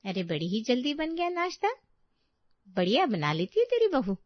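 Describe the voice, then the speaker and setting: A gossipy middle-aged neighbour, played by a woman, chats in a high voice. She is flattering, familiar and teasing, in a domestic audio tale with slightly dull sound. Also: normal speed, no room sound. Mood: amused, chatty.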